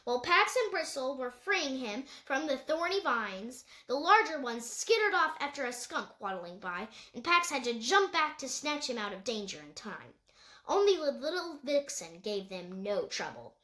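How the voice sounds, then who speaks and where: High female voice, storybook narration, audiobook reader, echoey room. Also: sing-song, moderate pace, sharp tone.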